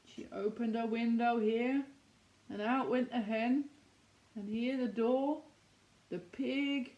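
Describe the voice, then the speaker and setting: A woman reading a picture book aloud to young children, expressive, with questions and asides to her listeners. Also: a little high, slow pace, dull audio. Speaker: children's story reader.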